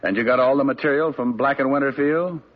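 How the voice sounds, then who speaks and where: A man's voice: mid-range voice, fast, natural voice. A man playing a sardonic private detective who narrates and trades quips, in a 1950s radio drama. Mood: dry. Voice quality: sardonic, clipped delivery. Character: wisecracking male private eye, dry and sardonic. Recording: dull lo-fi old radio broadcast with music.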